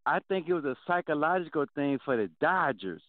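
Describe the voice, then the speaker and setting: A man's voice: on the high side, animated, brisk, no room sound. A man hosting a sports talk show, steering the talk with direct questions. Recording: dry phone-line audio.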